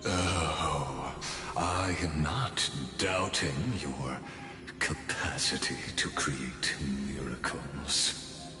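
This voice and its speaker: Scheming scholar villain for a game: theatrical, politely condescending, extremely slow delivery in a long echoing hall over music. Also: male voice, rumbling low, exaggerated voice. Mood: smug, courteous. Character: scheming, courteous male scholar-villain bent on destroying a god. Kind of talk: dramatic video game villain monologue.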